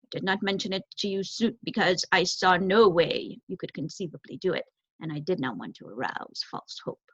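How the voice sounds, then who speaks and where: A woman voicing a grave, formal male owl in a storybook, speaking in measured pronouncements. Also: on the low side, husky, no echo. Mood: intent, deferential. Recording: dull, lo-fi close recording.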